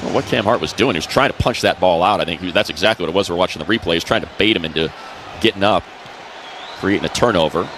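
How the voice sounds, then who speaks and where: A man's voice: low voice, fast, a bit bright. A man calling live football play-by-play on the radio in clipped phrases, following each play as it happens. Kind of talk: live radio sports commentary. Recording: noisy live stadium broadcast.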